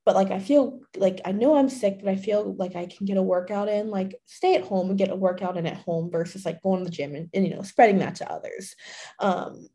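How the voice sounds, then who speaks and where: A young woman hosts her fitness podcast solo, her voice a little hoarse after a recent illness. She talks in a loose, chatty way and fills her sentences with "like" and "you know." Her tone is mellow and warm, and her pitch sits at a middle height. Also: brisk. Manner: chatty, many fillers, laughs often. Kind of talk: casual solo podcast talk.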